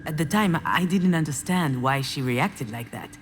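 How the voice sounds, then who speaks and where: An actress playing the troubled, wry adult daughter of an artist, speaking introspective, dramatic dialogue in a video game. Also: female voice, deep voice, animated, normal speed. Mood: brooding, reflective. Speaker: video game protagonist and narrator. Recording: music behind the voice.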